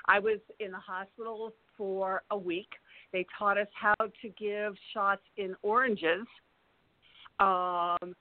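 A woman in her sixties speaks as a podcast guest over a dry, narrow-band line. She tells her story in a halting way, often pausing partway through a sentence and picking it up again. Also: mid-range voice, dry recording. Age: 60s. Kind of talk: personal storytelling in conversation.

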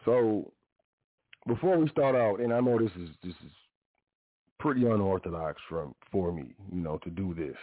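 A man's voice: animated, moderate pace, dry recording. A man hosting his own show, talking in a rambling, casual monologue full of restarts and asides. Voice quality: deep voice. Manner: rambling, frequent self-corrections and "you know". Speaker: podcast host. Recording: dry, phone-like narrow sound.

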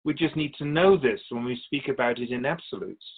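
A man playing a wise spirit who keeps souls in a radio drama, teaching in measured explanations with pointed asides. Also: mid-range voice, narrow, tinny audio, close to an everyday voice. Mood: patient, instructive. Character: ancient male spirit Keeper of Souls, wise storytelling teacher. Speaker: interviewed guest. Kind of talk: scripted radio drama dialogue.

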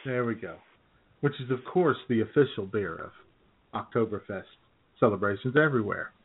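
A man's voice: on the low side, lively intonation, brisk, slightly rough. A man co-hosting an internet radio show with casual comedic banter, joking and often laughing as he talks. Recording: thin phone-like internet radio audio.

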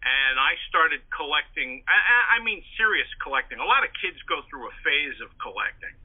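A man's voice: fairly high pitch, sing-song, moderate pace, slightly rough. An older man from the Northeastern United States, an interview guest telling anecdotes casually and repeating words as he goes. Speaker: interview guest, writer and historian. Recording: tinny, band-limited phone line.

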